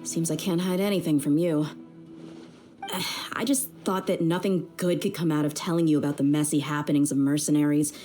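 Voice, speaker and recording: An actress voices a young desert mercenary woman with a low, grounded voice. She is loyal and easygoing, talking frankly and with plenty of slang, as in a video game. Music plays prominently behind her. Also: fairly even intonation, fairly quick, mellow, natural voice. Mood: frank, serious.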